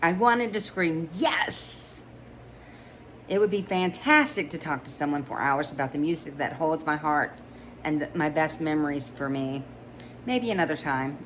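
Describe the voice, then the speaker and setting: A woman's voice: deep voice, normal speed, slight background noise. A woman reading a romance novel aloud in a conversational way, with small stumbles and restarts. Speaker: audiobook reader.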